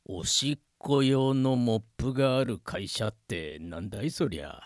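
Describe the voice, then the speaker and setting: An actor playing a nosy, rough-talking prison cellmate, questioning theatrically in a comedic radio drama. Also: male voice, mid-range voice, moderate pace, no echo, lightly acted. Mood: baffled, astonished.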